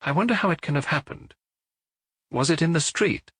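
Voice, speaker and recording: A man's voice: on the high side, normal speed, fairly bright tone, slightly rough, dry recording, voice pushed up. A man voicing a curious, unemotional woman guest in a detective audiobook. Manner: inquisitive.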